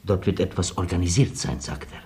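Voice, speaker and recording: An actor in a radio play playing a colonel's loyal orderly, deferential yet dryly cheeky. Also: male voice, low voice, level tone, fairly quick, darkish tone, natural voice. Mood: dry, reporting. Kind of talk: radio drama acting.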